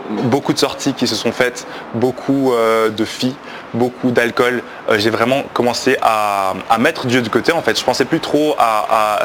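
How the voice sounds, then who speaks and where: A man in his twenties with a fairly low voice tells his own story without a script. He speaks haltingly and searches for words. Some background noise sits faintly behind him. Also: a bit bright. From Belgium. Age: 20s. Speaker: guest giving a personal testimony. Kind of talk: unscripted personal testimony.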